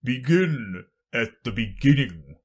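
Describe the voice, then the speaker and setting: A narrator voicing a pompous, muddle-headed old king, loud and emphatic, in a storybook reading. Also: mid-range voice, lively intonation, takes it slowly, husky. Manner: loud, emphatic, self-important.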